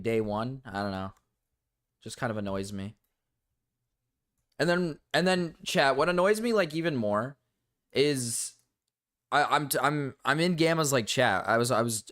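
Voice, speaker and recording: A young man streaming and ranting to his chat, rambling with restarts and fillers, his voice going low and cracking. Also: animated, normal speed, fairly bright tone. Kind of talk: casual livestream rant to chat.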